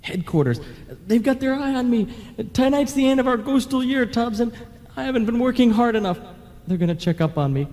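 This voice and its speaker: Worried friendly ghost, reverberant. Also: male voice, very high voice, expressive, brisk, darkish tone. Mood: worried, plaintive. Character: anxious, good-natured underachieving male ghost. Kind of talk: old-time radio comedy acting. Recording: reverberant radio play on analogue tape.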